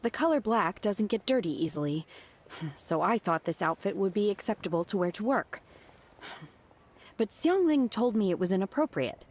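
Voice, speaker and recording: A woman's voice: medium pitch, animated, moderate pace, darkish tone, natural voice. A woman playing an aloof, literal-minded, earnest young woman in a video game, speaking plainly. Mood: puzzled.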